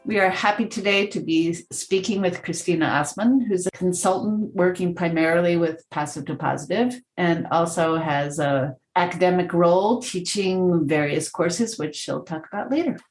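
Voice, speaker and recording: A woman cohosting a podcast, introducing the guest in a steady, measured way. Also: lowish voice, soft background music, low-quality recording.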